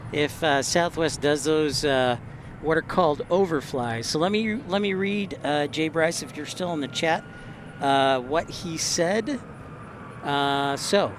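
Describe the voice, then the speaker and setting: A man from Texas hosting a casual livestream, chatting and reading out messages with frequent halting pauses. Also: a little high. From Texas, United States. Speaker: livestream host. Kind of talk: casual live commentary, reading and answering chat. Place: outdoors near an airport. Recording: loud outdoor vehicle and ambient noise.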